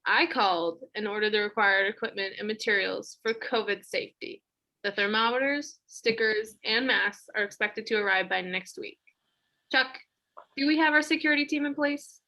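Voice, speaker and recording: An actress playing a brisk, sardonic office meeting leader in a comedy web series, businesslike with dry sarcasm. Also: female voice, mid-range voice, sharp tone. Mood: businesslike, wry.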